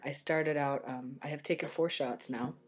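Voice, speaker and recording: A young adult man with a voice slightly deepened early in transition, talking casually and candidly in a personal vlog, with some swearing. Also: steady tone, moderate pace, slightly rough. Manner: candid, self-deprecating, sweary. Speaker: video blogger. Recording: noisy home recording.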